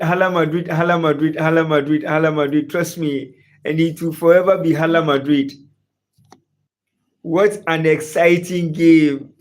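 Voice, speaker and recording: A man from Ghana with a Ghanaian English accent hosts a live football chat. He greets viewers by name and asks the same questions again and again. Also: fairly high pitch. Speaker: sports livestream host. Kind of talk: live sports chat reading viewer comments.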